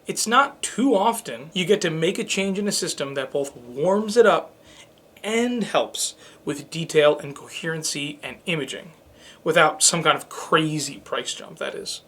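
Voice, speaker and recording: Clear, smooth-voiced man co-hosting a show. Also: on the high side, lively intonation, a little slow, a bit bright. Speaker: show co-host. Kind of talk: scripted conversational product review show.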